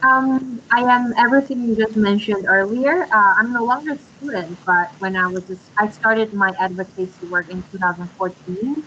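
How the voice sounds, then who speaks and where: A woman's voice: medium pitch, normal speed, few pauses, pushed and bright, highs cut off, slight background noise. Earnest young woman on a video call. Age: 20s. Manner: earnest, hesitant with many ums. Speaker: interview guest.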